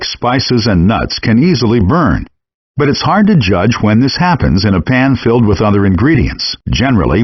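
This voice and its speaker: A man narrating scripted cooking instructions for a game in a measured, step-by-step way, in muffled, compressed handheld game audio. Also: mid-range voice, slightly rough.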